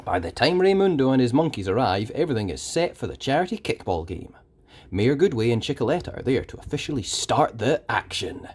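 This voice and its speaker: A storyteller reading a children's book aloud in an expressive, dramatic way with deliberate emphasis. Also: medium pitch, husky. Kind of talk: animated scripted children's story narration.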